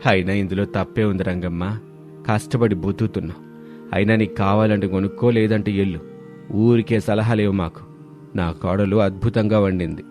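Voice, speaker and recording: A man's voice: fairly low pitch, fairly even intonation, moderate pace, a little mellow, soft background music, lightly acted. A man playing a plain-spoken, earnest older father-in-law in a village cartoon story. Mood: defensive. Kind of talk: dramatised moral story dialogue.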